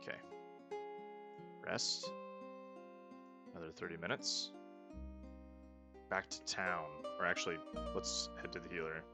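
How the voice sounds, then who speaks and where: Low, gravelly man playing a game. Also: flat delivery, a little slow, pauses often, over music. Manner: thinking aloud, hesitant restarts. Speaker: let's play host. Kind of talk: casual gameplay commentary.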